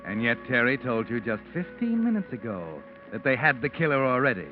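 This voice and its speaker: An actor playing an ominous, taunting mystery narrator in a 1940s radio drama, speaking in a knowing, deliberate way. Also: male voice, mid-range voice, very animated, close to an everyday voice. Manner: knowing, deliberate, sinister storytelling. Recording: muffled vintage radio recording with music.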